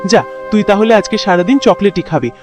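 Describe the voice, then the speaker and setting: A woman's voice: medium pitch, brisk, lightly acted. A woman voicing a caring but nagging, exasperated village mother in a cartoon. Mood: angry, scolding. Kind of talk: cartoon voice acting. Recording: music behind the voice.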